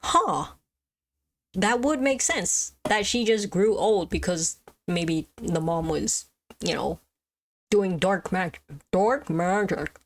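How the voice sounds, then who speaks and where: A woman's voice: lowish voice, expressive, slow pace. A woman livestreamer chatting casually as she reacts to a video, musing aloud with exclamations and questions. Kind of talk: casual live reaction chat.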